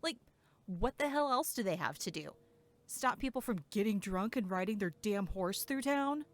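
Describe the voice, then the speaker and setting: A woman acting as a sharp-tongued, sarcastic young camp counselor in an audio drama. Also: medium pitch, lively intonation, normal speed, lightly acted. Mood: exasperated, sarcastic.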